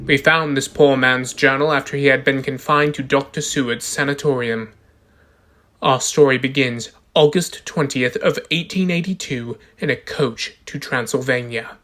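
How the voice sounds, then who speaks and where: A man's voice: medium pitch, fairly even intonation, normal speed, a bit bright, natural voice. A man playing a grave, formal narrator who presents a suspenseful horror story in a radio drama. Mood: solemn, suspenseful.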